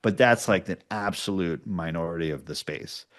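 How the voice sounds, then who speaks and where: A man's voice: low voice, moderate pace, a bit bright. A man giving casual, explanatory interview answers, often checking in with short tags like "right?". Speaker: podcast producer, interview guest.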